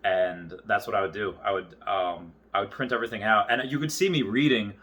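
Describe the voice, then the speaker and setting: A man in his early thirties delivers an unscripted, casual monologue. His voice sits a little low but has a bright, forceful edge. He rambles and stops midway through thoughts, then picks them up again. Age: early 30s. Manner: rambling, halting, circles back on thoughts. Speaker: YouTuber.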